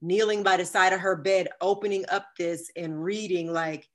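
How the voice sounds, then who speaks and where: A woman in her fifties with a deep, sultry voice, telling a personal story as a podcast guest and acting out the quoted dialogue. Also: normal speed. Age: 50s. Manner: animated, acts out quoted dialogue. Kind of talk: storytelling in a conversational interview.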